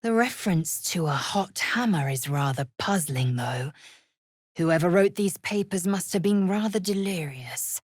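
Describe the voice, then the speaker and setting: A woman's voice: on the low side, animated, a little slow, slightly rough, no room sound, studio quality. A woman playing a fierce, imperious young Githyanki alien warrior in a video game, with a clipped, haughty, commanding way of speaking and formal phrasing. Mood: haughty, disdainful. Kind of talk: video game character voice lines.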